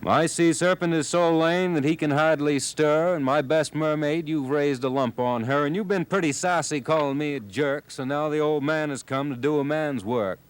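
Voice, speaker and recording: A man voicing the furious old man of the sea, with a rumbly, thundery manner. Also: takes it slowly, few pauses. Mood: angry.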